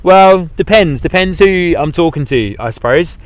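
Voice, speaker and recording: A man's voice: a little high, lively intonation, moderate pace. A man from the UK with a mixed English and New Zealand accent, hosting a chatty live plane-spotting stream outdoors by an airport. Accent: mix of English and New Zealand. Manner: upbeat, chatty, talks to viewers. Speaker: livestream host.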